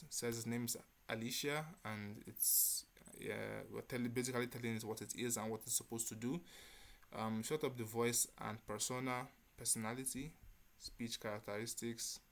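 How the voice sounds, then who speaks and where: Man presenting, fairly flat intonation. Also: lowish voice. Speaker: developer presenting a hackathon project. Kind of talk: spoken product demo presentation.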